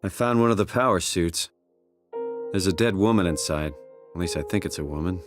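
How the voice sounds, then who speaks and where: A man's voice: low voice, a little fast, natural voice. A man with a North American accent playing an anxious, doubting everyman in a video game, talking in a questioning, hesitant, emotional way. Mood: wary. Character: anxious, doubting everyman lost in a hostile world. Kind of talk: video game voice acting, naturalistic dialogue. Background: music.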